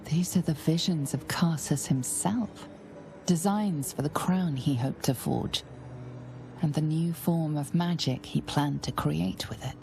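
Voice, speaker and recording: An actress voicing a solemn, scheming narrator of a dark fantasy game, deliberate and knowing, addressing the player directly. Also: female voice, low-pitched, gravelly. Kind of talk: dramatic second-person game narration.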